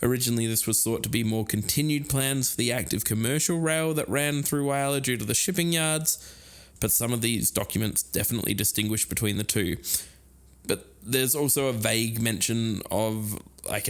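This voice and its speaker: A man's voice: fairly low pitch, steady tone, normal speed. A man co-hosting an investigative podcast, explaining research in a partly casual, chatty style. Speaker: podcast co-host.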